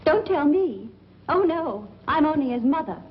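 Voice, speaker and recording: Fussy worrying 40s movie mom, high voice that swoops around, muffled sound. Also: female voice, expressive, normal speed. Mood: indignant. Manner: indignant, fussy. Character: fretful, strict, easily offended mother. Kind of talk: 1940s film acting. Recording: muffled, noisy old film soundtrack.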